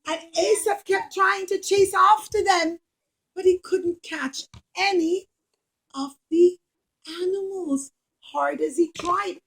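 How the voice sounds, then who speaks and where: A woman's voice: extremely high pitch, slow pace, fairly bright tone, low-quality recording. A woman telling a story to young children as a puppeteer, explaining and repeating, often checking understanding with little questions.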